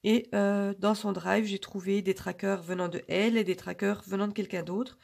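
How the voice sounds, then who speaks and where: A woman with a hoarse, husky voice from a cold, chatting casually and rambling to her viewers with many pauses. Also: mid-range voice, fairly even intonation, darkish tone. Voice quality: gravelly. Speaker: budgeting vlogger.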